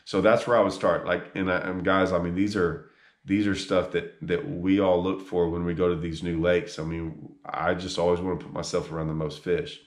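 A man's voice: deep voice, level tone, moderate pace. A man, giving earnest, casual fishing advice straight to a camera, with plenty of ums and restarts. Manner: earnest, repeats himself, many ums and restarts, gestures with hands. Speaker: fishing guide giving a tournament report. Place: small room indoors. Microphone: camera on a tripod a few feet away. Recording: echoey room.

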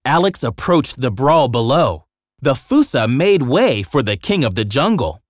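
A man's voice: medium pitch, big pitch swings, a little slow, dry recording, studio quality. A man narrating a scripted children's story with dramatic storytelling delivery.

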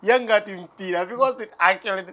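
A man's voice: high voice, brisk, a bit bright. A man in his forties hosting a TV interview in a living room, playful and teasing, laughing often, on a lapel mic. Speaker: TV show host. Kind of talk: lively TV interview.

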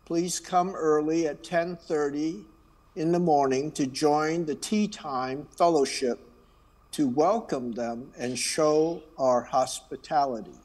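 A man speaks slowly from the front of a church sanctuary, reading announcements and leading the call to worship. His voice sits a little high for a man, and his manner is unhurried and friendly, with small asides. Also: darkish tone. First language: Chinese.

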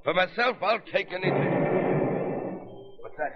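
An actor playing a commanding sea captain in a 1930s radio drama. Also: male voice, high-pitched, measured pace. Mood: dry, unruffled. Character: commanding male sea captain, dryly unruffled until danger strikes. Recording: muffled 1930s radio recording with music.

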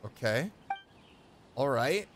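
A man hosting a game playthrough, reacting and thinking aloud in an excitable way. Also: medium pitch, slow pace, slight background noise. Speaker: gaming video host. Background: game background music.

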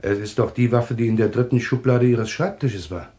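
An actor playing a fatalistic male clairvoyant in a German radio thriller, speaking in a solemn, halting, insistent way. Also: medium pitch, dark tone, lightly acted. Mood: calmly insistent. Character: fatalistic male clairvoyant foretelling his own death.

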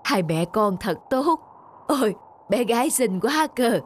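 A woman's voice: on the high side, animated, a little slow, a little hiss or hum. A woman voicing a humble, grateful old street vendor in a moral-tale cartoon, speaking in a pleading, thankful manner. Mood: humble gratitude. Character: frail, humble, grateful old woman selling lottery tickets.

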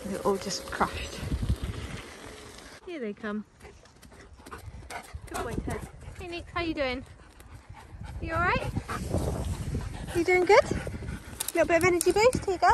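A woman chats casually to the camera while riding an electric bike along a forest track. She breaks into a high, coaxing sing-song whenever she calls her dogs. Steady wind and road noise sit clearly under her voice in this outdoor recording. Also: unhurried, long pauses. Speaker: vlogger.

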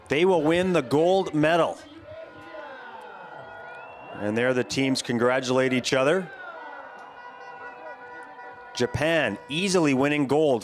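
Calm male ski jumping commentator. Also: fairly high pitch, a little hiss or hum. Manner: calm, measured play-by-play, lifting on big jumps. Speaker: sports commentator.

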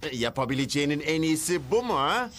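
A man's voice: a little high, sing-song, fast talker, a bit bright, a little hiss or hum. A man voicing an awkward, cheeky young male teammate in a dubbed cartoon. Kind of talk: cartoon dubbing.

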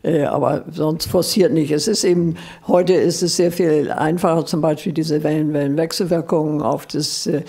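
Older woman, audience guest, husky and gravelly, hesitant plain delivery into a handheld mic. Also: low voice, steady tone, normal speed, few pauses, warm tone. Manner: plain, hesitant, many fillers. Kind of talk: spontaneous answers from the audience. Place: seated in a large indoor auditorium. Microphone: handheld mic held out to her.

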